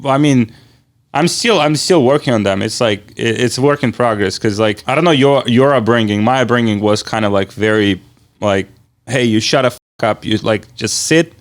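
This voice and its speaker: Halting, rambling male interviewer. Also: medium pitch. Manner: halting, rambling phrasing with repeated filler words. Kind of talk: casual conversational interview.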